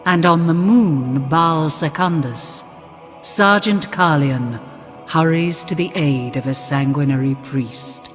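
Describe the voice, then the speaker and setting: A woman playing a solemn chronicler, narrating an epic game cutscene in a grave, deliberate manner. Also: deep voice. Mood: solemn, portentous. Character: solemn storyteller chronicling a grim far-future war. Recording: reverberant hall, loud music behind.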